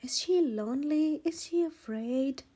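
A woman reading a children's picture book aloud in an expressive, gentle storytelling manner. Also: high-pitched, a little slow. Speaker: storyteller, channel host.

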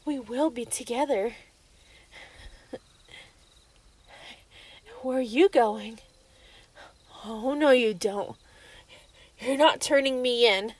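A woman's voice: fairly high pitch, slow, pauses often. A woman playing an obsessive, lovestruck young female werewolf in a yandere ASMR roleplay, menacing and possessive. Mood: possessive, coaxing.

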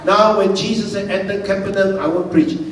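A man's voice: steady tone, brisk. Man, 60s, pastor, emphatic sermon, echoey hall, faint background noise. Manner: swings from booming emphatic shouts to low quiet asides. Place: large church hall with stage. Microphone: handheld microphone. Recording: echoey hall, mic far off.

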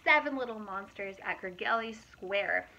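A woman in her thirties, a preschool teacher, reads picture books aloud to young children in an expressive, animated manner with asides to her listeners. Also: medium pitch, moderate pace, rough recording. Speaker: preschool teacher reading storytime.

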